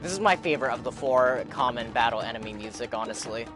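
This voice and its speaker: A man's voice: medium pitch, animated, quick pace. A man livestreaming a game, chatting casually and reacting in an informal, rambling way. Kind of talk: casual gameplay reaction chat. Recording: game music under voice.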